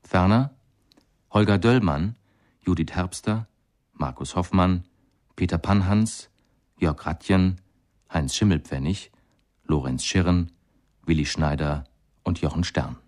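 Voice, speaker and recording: A man reading scripted closing credits for a radio play in a formal, measured manner. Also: deep voice, darkish tone. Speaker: closing announcer. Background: guitar music.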